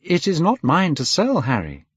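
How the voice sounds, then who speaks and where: A man's voice: on the high side, huge pitch swings, moderate pace, fairly bright tone, breathy edge, no room sound, studio quality, pitched above the performer's own voice, full character voice. A man voicing a sensitive, easily wounded male painter, earnest and emotional, in a storybook reading. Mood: surprised, eager.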